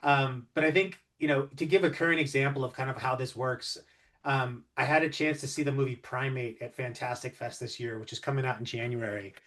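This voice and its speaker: A man's voice: mid-range voice, steady tone, fairly quick, fairly bright tone. Musing man in casual conversation. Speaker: interviewer, co-host.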